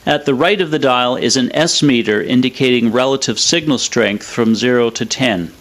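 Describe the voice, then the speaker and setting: A man's voice: mid-range voice, normal speed, fairly bright tone. Matter-of-fact man narrating a technical explainer. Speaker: presenter of an electronics review.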